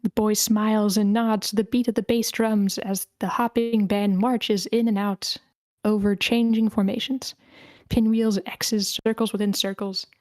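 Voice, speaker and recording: A woman's voice: medium pitch, level tone, measured pace, darkish tone, dry recording. A woman reading a screenplay's stage directions as the narrator in scripted narration.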